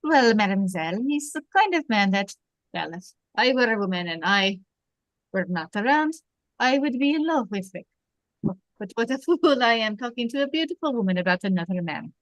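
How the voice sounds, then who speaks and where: A woman's voice: a little high, big pitch swings, moderate pace, no echo, low-quality recording. Woman playing a suave French police captain. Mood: courtly, flattering. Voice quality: French-accented character voice. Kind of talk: amateur script read-through.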